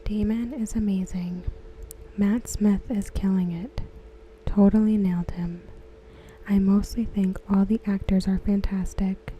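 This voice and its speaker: Female ASMR reader: very dark, soft breathy near-whisper, even gentle delivery, some background noise. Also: medium pitch, low-quality recording. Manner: hushed, gentle, unhurried delivery. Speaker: ASMR creator. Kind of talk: soft-spoken ASMR read-aloud of written comments.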